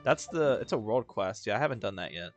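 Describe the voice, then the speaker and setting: A man's voice: fairly low pitch, expressive, fairly quick, music in the background. A young man giving casual, rambling, jokey commentary as a game streamer.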